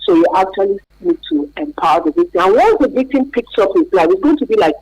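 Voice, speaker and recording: A woman's voice: medium pitch, sing-song, fast, darkish tone, husky, no echo, low-quality recording. A woman with a Nigerian accent, a therapist guest explaining things emphatically in a radio interview, repeating key words and checking that the listener follows.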